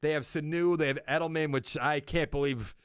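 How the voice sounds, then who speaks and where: Young man, Boston accent, high voice, casual sports podcast host, thinks aloud and corrects himself, dry sound. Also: steady tone, fairly quick, smooth, no room sound.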